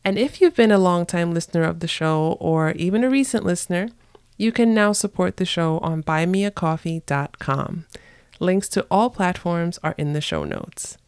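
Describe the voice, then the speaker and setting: A woman's voice: lowish voice, normal speed, a little mellow, smooth, dry recording, clean studio sound. A woman hosting a conversational podcast, interviewing a guest about languages.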